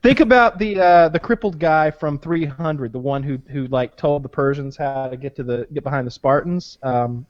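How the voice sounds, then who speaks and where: Male podcast co-host, casual crude chat, slightly high voice. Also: normal speed, hardly any pauses, muffled recording. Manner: rambling, crude, many restarts and fillers.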